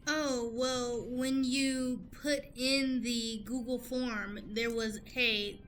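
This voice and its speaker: Woman as a fairly high-voiced student intern. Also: fairly even intonation, extremely slow, fairly bright tone, a little hiss or hum. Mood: defensive, stressed. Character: stressed, casual young college-student intern, female. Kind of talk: improvised comedic radio play acting.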